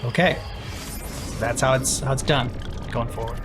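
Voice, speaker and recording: A man's voice: medium pitch, steady tone, normal speed. A man giving casual, joking commentary as co-host of a game reaction video. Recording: game music behind the voice.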